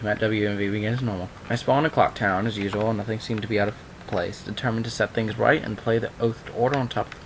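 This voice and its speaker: Young adult male, lowish voice, amateur story reader with a loose conversational streak; faint noise on the recording. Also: creaky. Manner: informal, breaks off into offhand remarks. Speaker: online video maker reading a horror story aloud. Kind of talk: amateur dramatic reading with casual asides. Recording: noisy amateur home recording.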